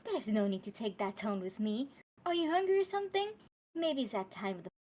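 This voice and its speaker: A woman's voice: on the high side, big pitch swings, brisk, a little mellow. Condescending woman tour guide, acted by a woman. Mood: patronizing. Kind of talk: live script read-through.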